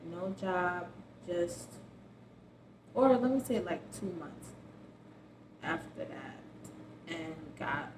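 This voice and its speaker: A woman in her early twenties telling a personal story in a casual, slangy, rambling way. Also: on the low side. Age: early 20s. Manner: informal, slangy, conversational. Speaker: vlogger. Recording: roomy echo, clearly noisy background.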